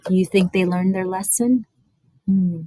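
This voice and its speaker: A woman reading a story aloud to young children, expressive and dramatic, with instructive explanatory asides. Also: on the low side, unhurried, no room sound, poor audio.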